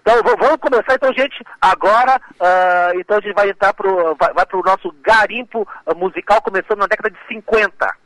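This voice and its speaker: A Brazilian man with a high-pitched, bright voice co-hosts a casual radio show. He talks effusively in a rambling, chatty way. The sound is close and dry. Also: fairly quick, hardly any pauses, dry recording, rough recording. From Piauí, northeastern Brazil. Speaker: radio co-host.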